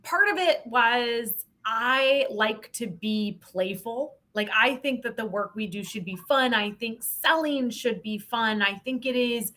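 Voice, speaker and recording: A woman's voice: on the high side, measured pace, hardly any pauses, sharp tone. An American woman chatting as an interview guest, candid and playful, with emphatic phrasing. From Montana, United States. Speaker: podcast guest, sales professional. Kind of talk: casual interview chat.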